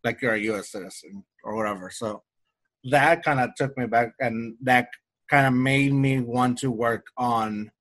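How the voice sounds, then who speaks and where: A man's voice: mid-range voice, normal speed, fairly bright tone. A young man with a slight Mexican Spanish accent, a native Spanish speaker, chatting casually as a podcast co-host, rambling with lots of restarts and fillers. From Mexico-US border region. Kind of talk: casual conversation.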